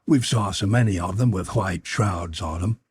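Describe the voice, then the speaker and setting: Guy as a sardonic crewman, plain spoken and grim, lowish husky voice, audiobook. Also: normal speed, no echo. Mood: sarcastic. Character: sardonic crewman giving grim eyewitness testimony. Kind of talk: audiobook character voice.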